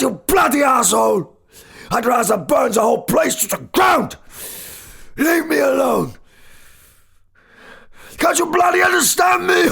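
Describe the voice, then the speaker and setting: Man doing a raging hoarse old professor. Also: fairly high pitch, pitched above the performer's own voice, exaggerated voice. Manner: ranting, cursing, shouting. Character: volatile furious older male professor.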